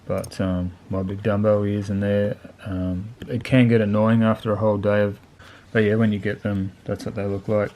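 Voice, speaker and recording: Man, fairly deep voice, very flat intonation, casual unscripted reviewer, colloquial, plain-spoken. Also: normal speed, hardly any pauses, slight background noise. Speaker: motorcycle video channel host reviewing gear.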